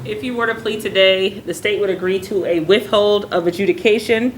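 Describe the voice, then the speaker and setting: A woman's voice: mid-range voice, steady tone, fairly quick, slight background noise, low-quality recording. A woman acting as prosecutor in court, formally reading out the terms of a plea offer as a list.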